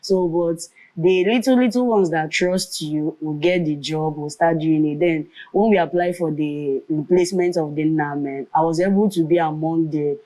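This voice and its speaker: This woman speaks low with a mellow tone and a Nigerian Igbo accent. She is a lively storyteller, moving between English, Pidgin and Igbo as she recounts her path as a comedian and radio presenter. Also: normal speed, few pauses, low-quality recording. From Nigeria, Nkanu land. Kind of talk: storytelling in a conversational interview.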